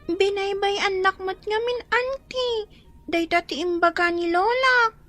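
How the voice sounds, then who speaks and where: Very high-pitched Filipino schoolgirl. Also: measured pace, fairly bright tone, soft background music. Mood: hurt, longing. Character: young schoolgirl, hurt yet dutiful granddaughter. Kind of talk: radio drama acting.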